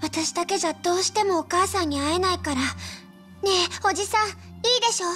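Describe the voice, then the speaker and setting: A woman voicing an earnest, polite little girl, lonely but determined, in a Japanese video game drama, with a soft childlike manner. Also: very high voice, fast talker, faint music behind. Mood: pleading.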